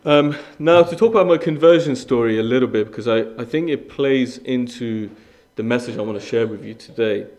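A man's voice: medium pitch, brisk, hardly any pauses, darkish tone. A young man from Iran addresses a congregation as a guest preacher. His testimony is earnest and conversational, and his mid-range voice stumbles into restarts and fillers as he speaks. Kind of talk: sermon with personal testimony.

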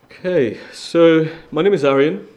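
A man's voice: on the high side, unhurried, darkish tone. A young man from Iran speaking as a guest preacher, sharing a personal testimony in an earnest, conversational way with frequent fillers and restarts.

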